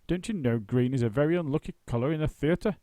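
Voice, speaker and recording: A man voicing a pompous, gruff older male stage actor who booms dramatically. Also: animated, normal speed, darkish tone, dry recording, natural voice. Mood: booming.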